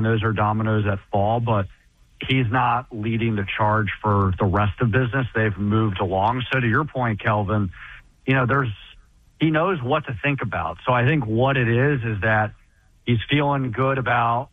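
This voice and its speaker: A man co-hosting sports talk radio, known for his loud voice, breaking down options in a measured, analytical way. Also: fairly low pitch, no echo. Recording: dry, phone-like radio audio.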